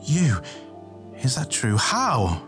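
A man voicing a brash, hot-tempered young male warrior in an audiobook, blunt, quick and excitable. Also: on the low side, music in the background, natural voice. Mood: shocked. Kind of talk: acted audiobook dialogue.

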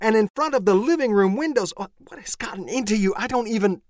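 Shrill scandalized wife, as a man puts her on. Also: animated, fast, darkish tone, no echo, studio quality. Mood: indignant. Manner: indignant, shrill, exasperated.

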